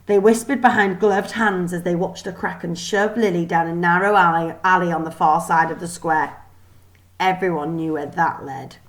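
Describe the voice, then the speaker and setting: A woman giving an expressive scripted narration of a children's story. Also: on the low side, moderate pace. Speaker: storybook reader.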